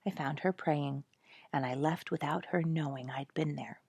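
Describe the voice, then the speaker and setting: A woman narrator plays a quiet-spoken storybook father, proud and protective of his family. The delivery is gentle but earnest, in a lowish, dryly recorded voice. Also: moderate pace, vocal fry, slightly rough, dry recording, full character voice. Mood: gentle, recounting.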